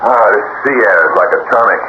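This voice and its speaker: A man's voice: fairly low pitch, quick pace, lightly acted. A man playing a wry, skeptical sailor friend in a 1970s radio drama. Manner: wry, questioning. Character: skeptical middle-aged male sailor friend. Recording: noisy dull vintage radio broadcast.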